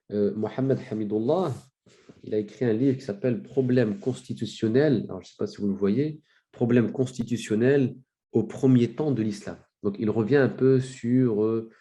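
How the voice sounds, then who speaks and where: A man with a cold giving a spoken lecture, telling a story with many fillers and restarts and rising in pitch for emphasis. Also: dark tone. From France. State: has a cold. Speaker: lecturer on religious history.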